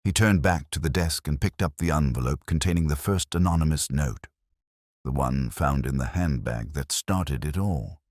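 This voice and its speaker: A man reading a scripted mystery story in a measured, deliberate, literary manner. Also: extremely low pitch, a little mellow, no room sound, studio quality. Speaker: narrator. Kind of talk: scripted audiobook narration of a mystery, acting out dialogue.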